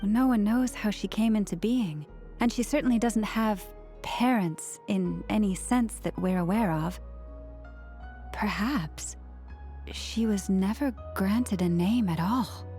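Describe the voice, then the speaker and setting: A woman playing a composed companion who speaks in a measured, matter-of-fact way in a game cutscene. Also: mid-range voice, mellow, faint music behind, lightly acted.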